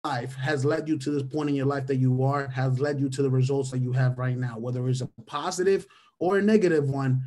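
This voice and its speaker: A man's voice: on the high side, a little mellow. Motivational man tagging every point with "right?". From Venezuela. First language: Spanish. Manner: ends almost every point with a questioning "right?"; repeats key phrases for emphasis. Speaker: mentor presenting a webinar. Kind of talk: motivational webinar talk. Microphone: webcam or headset on a video call.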